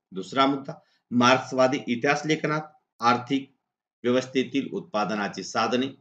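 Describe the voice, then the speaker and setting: A man's voice: medium pitch, fairly bright tone. Male teacher in an echoey room. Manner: deliberate, instructional, point by point. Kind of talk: exam answer walkthrough, reading model answers aloud. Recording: echoey room, dull lo-fi sound.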